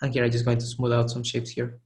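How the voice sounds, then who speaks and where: A man teaching a live online art webinar, hoarse and losing his voice, who keeps clearing his throat and gives casual, encouraging feedback with frequent restarts. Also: mid-range voice, monotone, quick pace, darkish tone. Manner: casual, encouraging, restarts phrases while demonstrating. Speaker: art instructor giving feedback. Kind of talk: live webinar critique of 3D sculpts.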